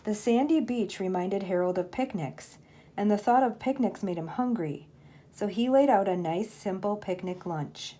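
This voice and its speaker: An older woman, a grandmother, reading a children's storybook aloud in an expressive, measured storytelling manner. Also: mid-range voice, slight background noise.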